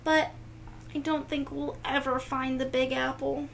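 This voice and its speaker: A woman's voice: sits high, level tone, measured pace, vocal fry, a bit bright, a little hiss or hum, heavily acted. A woman voicing an emotional, exclaiming little girl in a children's storybook. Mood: wistful. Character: Nellie, emotional little girl searching for her dog.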